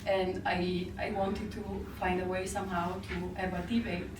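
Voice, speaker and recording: A woman master's student asking a question in a discussion, slow and tentative. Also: fairly low pitch, level tone. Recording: echoey room, mic far off, noisy.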